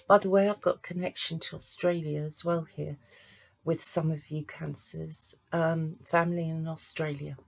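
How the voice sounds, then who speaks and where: A woman working as a psychic medium, giving a card reading to camera in a halting, searching way. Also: deep voice, flat delivery, creaky. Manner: halting, searching, pauses to sense impressions.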